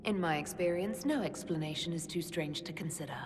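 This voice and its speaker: A woman's voice: low-pitched, a little fast, background noise, natural voice. An actress playing a composed, inquisitive woman leader who speaks directly and diplomatically in a fantasy game. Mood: assured. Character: composed, inquisitive female military leader, diplomatic, open-minded. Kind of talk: dramatic game cutscene dialogue.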